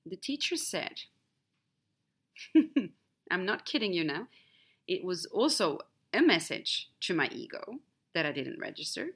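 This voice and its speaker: Swedish-native woman podcasting solo, bright tone, a bit low, candid storytelling. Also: very animated, measured pace, pauses often, slightly rough. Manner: candid, storytelling, wide swings in emphasis. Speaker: podcast host. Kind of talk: reflective solo monologue.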